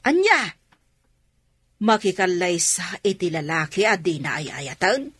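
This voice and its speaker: A woman's voice: lowish voice, sing-song, a little slow, fairly bright tone, slightly rough, no room sound, exaggerated voice. A woman with an Ilocano accent playing an incredulous, disapproving woman in a radio drama.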